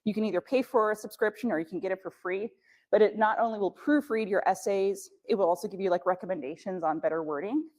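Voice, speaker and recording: A woman's voice: mid-range voice, fast talker, darkish tone. Chatty woman, German native speaker. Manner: chatty, frank, many fillers. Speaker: scholarship workshop presenter. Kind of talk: casual workshop talk.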